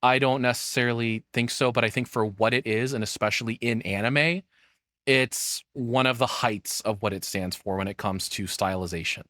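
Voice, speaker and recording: Blunt, bright-voiced man rambling casually. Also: mid-range voice, normal speed, no room sound, clean studio sound. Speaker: streamer reacting to a video. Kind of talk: casual opinionated commentary.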